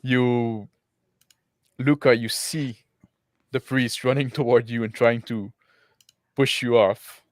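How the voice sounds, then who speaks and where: A man narrating a tabletop roleplaying game as game master, improvising haltingly. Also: medium pitch, steady tone, no room sound, clean studio sound.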